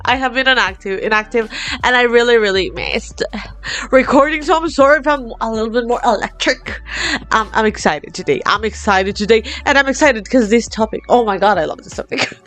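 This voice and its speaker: A woman's voice: a little fast, soft background music. Bubbly Latin American woman vlogger. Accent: Latin American Spanish. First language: Spanish. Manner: bubbly and excitable, laughs often, lively swings in pitch. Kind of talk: casual chat to camera.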